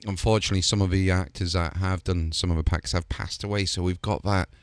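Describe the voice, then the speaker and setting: A man's voice: low voice, no echo. A man chatting enthusiastically about voice actors and products. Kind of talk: casual promotional chat.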